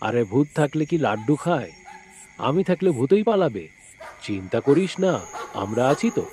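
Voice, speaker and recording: A man's voice: mid-range voice, big pitch swings, normal speed, mellow, a little hiss or hum, close to an everyday voice. A man voicing a bold, boastful ex-policeman uncle in a cartoon. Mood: boastful, reassuring.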